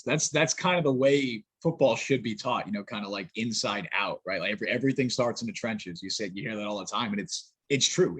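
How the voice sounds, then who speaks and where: A man's voice: mid-range voice, fairly bright tone, breathy edge, no echo. A man hosting a casual interview, asking questions in a conversational way and thinking aloud with small restarts. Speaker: interviewer host.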